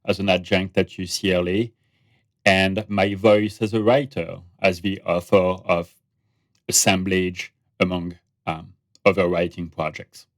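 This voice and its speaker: French man with a deep voice. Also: flat delivery, measured pace, dry recording. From France. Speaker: guest, brand researcher and author. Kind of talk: podcast interview conversation.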